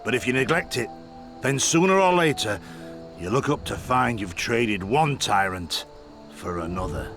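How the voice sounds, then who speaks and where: An actor playing an impassioned rebel leader in a fantasy game, speaking grandly and deliberately like a practised orator. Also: male voice, medium pitch, animated, over music, lightly acted. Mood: grave, reflective. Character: impassioned male rebel leader, rousing orator, world-weary mentor to soldiers. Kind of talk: theatrical fantasy game acting.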